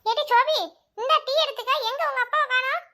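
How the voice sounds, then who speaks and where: A woman's voice: very high-pitched, steady tone, fairly quick, bright, forceful tone. A woman voicing a practical mother who scolds and nags her children. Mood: scolding. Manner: scolding, nagging.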